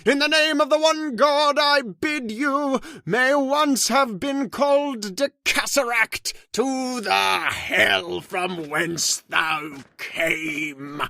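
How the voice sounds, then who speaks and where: A male actor plays a devout clergyman in an old-time radio horror play. The voice is very high for a man, forceful and close-miked dry. He declaims solemn prayers with fearful fervor. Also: takes it slowly, creaky, few pauses, no room sound, full character voice. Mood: solemn, commanding.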